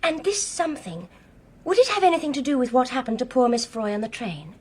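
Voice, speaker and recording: A woman's voice: high voice, very animated, fairly quick, darkish tone, breathy edge, full character voice. An actress playing a poised, inquisitive young woman in a radio drama, articulate and wry.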